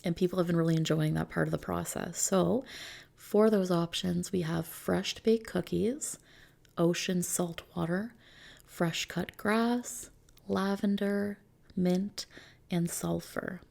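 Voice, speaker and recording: A woman doing an ASMR roleplay as a customization consultant, talking softly and unhurriedly to a customer in a gently explanatory, reassuring way. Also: on the low side, steady tone, takes it slowly, vocal fry. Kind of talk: ASMR roleplay, soft customer-service talk.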